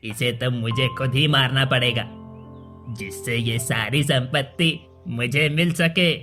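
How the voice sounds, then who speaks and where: A man's voice: medium pitch, measured pace, lightly acted. A man playing a greedy, scheming royal minister in a cartoon story, conniving beneath servile manners. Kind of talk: Hindi cartoon story acting. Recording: music under the voice.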